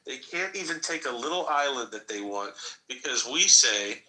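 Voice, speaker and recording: Bright-voiced man hurling mocking taunts. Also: mid-range voice, lively intonation, moderate pace, low-quality recording. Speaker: podcast host. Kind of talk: heated online debate.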